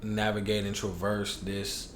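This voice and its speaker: A young man with a Chicago accent talks in a low, easygoing voice, dropping slang as he tells candid stories from his life. He sounds like a podcast guest, recorded in an echoey room with a faint hiss behind him. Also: measured pace. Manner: relaxed, slangy, candid storytelling. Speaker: hip hop artist guest. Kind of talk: casual podcast interview.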